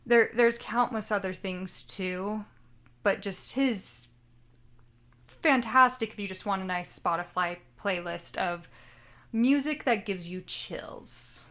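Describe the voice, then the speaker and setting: A woman's voice: mid-range voice, takes it slowly, a bit bright. A young woman co-hosting a casual anime podcast, chatty and rambling, often second-guessing how she pronounces names. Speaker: podcast co-host.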